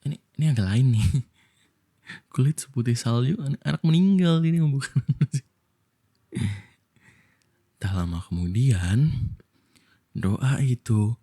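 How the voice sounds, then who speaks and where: Soft, dark-voiced Indonesian man telling bedtime stories. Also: medium pitch. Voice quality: soft, breathy. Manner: playful, slow, affectionate boyfriend roleplay. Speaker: ASMR voice actor, bedtime storyteller. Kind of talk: intimate ASMR bedtime storytelling with casual asides.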